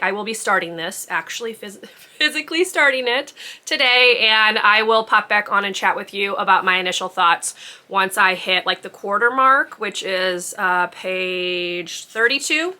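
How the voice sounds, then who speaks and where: A woman's voice: medium pitch, normal speed, bright, forceful tone. A woman book vlogger chatting casually to camera, rambling with lots of ums and likes.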